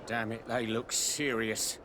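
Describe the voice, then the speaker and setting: An actor playing a surly, threatening street thug in a video game. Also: male voice, medium pitch, flat delivery, slow pace, background noise, natural voice. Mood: grudging. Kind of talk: video game cutscene acting.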